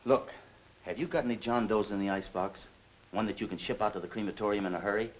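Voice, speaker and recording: A man acting a hard-nosed bully in a forties crime picture. His voice is low and he talks fast, barking curt, threatening orders. It has the sound of an old film soundtrack. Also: a little hiss or hum, close to an everyday voice, poor audio. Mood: forceful, bullying.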